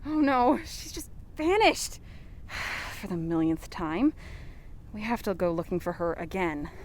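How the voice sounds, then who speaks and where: A woman's voice: fairly low pitch, expressive, unhurried, a little hiss or hum. A woman acting as an anxious, skeptical young investigator in an audio drama, with a nervous, exclamatory manner. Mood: exasperated, alarmed.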